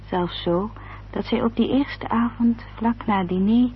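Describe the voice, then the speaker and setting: A woman playing a composed, observant gentlewoman, narrating a radio drama in a measured, formal, literary manner. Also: on the high side, slight background noise, natural voice. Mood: grave, reflective. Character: composed, observant English gentlewoman recounting events. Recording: dull old radio recording.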